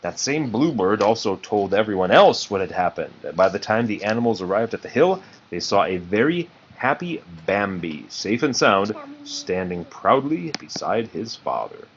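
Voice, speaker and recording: A low male voice reads a children's story aloud with lively, varied intonation. The recording is slightly muffled, with faint noise behind him. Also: normal speed, hardly any pauses. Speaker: storybook reader. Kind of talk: children's read-aloud narration.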